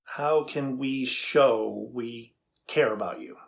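A middle-aged man playing a thoughtful, curious AI researcher in a dramatized interview, deliberate and inquisitive. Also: mid-range voice, vocal fry, slightly rough, exaggerated voice. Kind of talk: dramatized transcript reading. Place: indoors before a studio backdrop.

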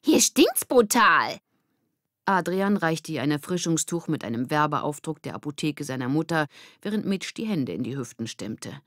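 A woman's voice: sits low, animated, normal speed, no echo, clean studio sound. A woman narrating a scripted children's story as an audiobook reader.

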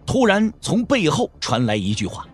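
A male narrator tells a martial-arts story in a dramatic, scripted style. His voice sits a little high and moves in lively swings of pitch. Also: normal speed, breathy edge, soft background music.

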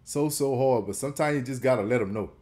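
A man's voice: mid-range voice, fast, dark tone. A man in his thirties hosting a reaction video at home, chatting in a casual, slangy way and chuckling often. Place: small room at home, indoors. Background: faint song playing.

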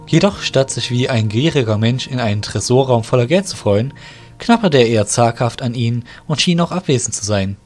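Male audio drama narrator, medium pitch. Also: expressive, a little fast, darkish tone, faint music behind.

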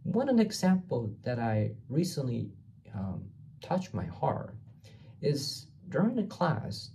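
A young man from Taiwan, a student recording a reading response for class, talking haltingly and repeating words while he searches for them, on a webcam in a small bare room. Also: a little high, creaky, warm tone, slight background noise, low-quality recording. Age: young adult. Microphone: laptop webcam mic, framed from below.

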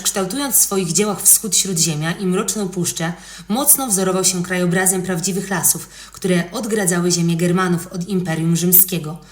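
Low-voiced woman narrator in a roomy recording. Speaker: podcast narrator. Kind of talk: scripted educational history narration.

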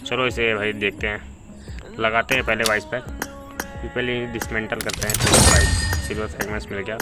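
A man's voice: fairly low pitch, little pitch movement, normal speed. A man giving casual, chatty gameplay commentary, talking to viewers like brothers. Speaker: gaming video creator. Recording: loud music behind the voice. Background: loud music.

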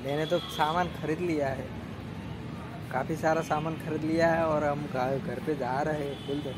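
A man's voice: a little high, steady tone, normal speed. A man in his thirties talking playfully with his young son in a casual family vlog, filmed on a handheld phone at home. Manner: playful, teasing, chatty. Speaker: vlogger and father. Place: small home room indoors. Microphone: phone held at arm's length. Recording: phone recording with clear background noise.

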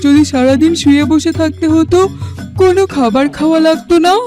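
Someone voicing a squeaky high-pitched lazy cartoon husband fox. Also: fairly quick, mellow, soft background music, close to an everyday voice. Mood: weary, wistful. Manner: whining, exclaiming, muttering to himself. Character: lazy, henpecked husband fox, whiny and dramatic. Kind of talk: children's fairy-tale cartoon voice acting.

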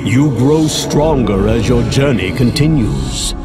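An actor playing the mighty god king of Olympus, speaking slowly and solemnly. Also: medium pitch, expressive, loud music behind the voice. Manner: slow, commanding, solemn.

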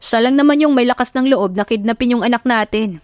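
A woman's voice: a little high, a little fast, a little mellow, no room sound, studio quality, lightly acted. A woman voicing a playful, sly young husband in an easygoing, teasing tone.

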